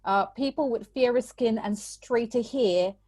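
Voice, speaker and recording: A woman, giving a measured, explanatory personal account as a guest. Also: fairly high pitch, mellow, no room sound. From Trinidad and Tobago. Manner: measured, explanatory, qualifies her points. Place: church recording.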